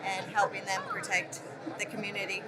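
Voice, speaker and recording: Flat-voiced woman, outdoor crowd noise. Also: mid-range voice, fairly quick. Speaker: executive protection agent. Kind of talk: interview answers.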